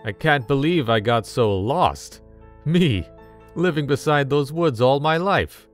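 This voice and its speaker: A hearty, confident brother from a dark storybook tale, acted out by a male narrator. He speaks up boldly with breezy amusement, over faint music. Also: medium pitch, animated, slow pace, pitched above the performer's own voice. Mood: relieved, hearty. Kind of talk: dramatic story reading.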